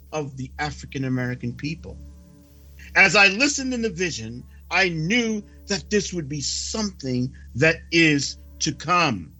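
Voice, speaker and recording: Raspy male preacher, high-pitched, solemn declamatory prophecy reading, dramatic pauses, music underneath. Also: bright, forceful tone.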